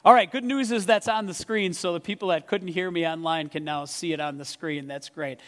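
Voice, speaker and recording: A pastor answers questions from the congregation, his voice sitting a bit high for a man. He speaks casually and works his thoughts out aloud, stumbling and restarting now and then. His microphone runs through the PA and rings out with the long reverb of a church hall. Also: fairly even intonation, a little fast, fairly bright tone. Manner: conversational, thinking aloud with restarts. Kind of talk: church Q and A talk. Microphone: microphone over a PA.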